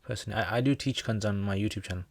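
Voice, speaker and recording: Low-voiced man chatting casually. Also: racing, gravelly, no room sound. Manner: jokey, rambling, with slangy asides. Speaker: Islamic teacher hosting a livestream Q&A. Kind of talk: casual livestream chat, answering viewer comments.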